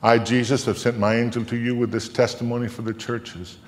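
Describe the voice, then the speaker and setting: An older man, around his sixties, recites scripture as a live dramatic reading. His delivery is solemn and theatrical, with a medium-pitched voice. Long reverb from a large theatre hall surrounds him. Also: little pitch movement. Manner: theatrical, solemn, measured, swelling to loud declamation and dropping to hushed tones. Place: large theatre stage, indoors.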